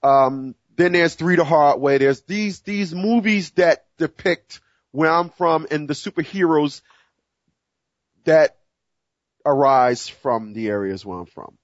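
A man's voice: fairly high pitch, slow pace, no echo, muffled recording. A man in his forties, hosting a solo talk show and speaking earnestly with many restarts and fillers. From United States. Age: 40s. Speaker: show host. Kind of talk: solo monologue to listeners.

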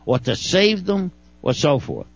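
A man's voice: a little high, huge pitch swings, unhurried, no room sound, dull audio. A man with a Brooklyn accent giving folksy, wry legal commentary on a talk radio show, often stumbling and repeating words.

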